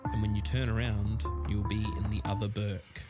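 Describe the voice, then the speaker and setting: A man's voice: fairly low pitch, flat delivery, moderate pace. A man hosting a podcast, reading scripted documentary-style narration. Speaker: podcast host. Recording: loud music bed under voice. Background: prominent music.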